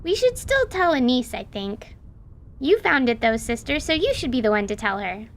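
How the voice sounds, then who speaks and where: High, creaky-voiced, cheerful big sister played by a woman. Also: big pitch swings, normal speed, a little hiss or hum. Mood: curious, upbeat. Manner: casual, chatty. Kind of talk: audio drama acting.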